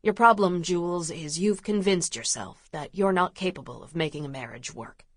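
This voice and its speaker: A woman voicing a stubborn, hurt young male rancher in an audiobook, speaking low, firm and hushed. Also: expressive, a little slow, no room sound, clean studio sound. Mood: quiet, stern.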